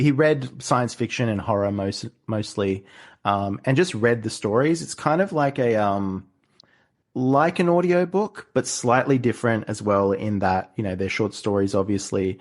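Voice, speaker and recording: A man hosting a podcast, explaining things casually in a loose, halting way. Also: lowish voice. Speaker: podcast host. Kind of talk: casual discussion.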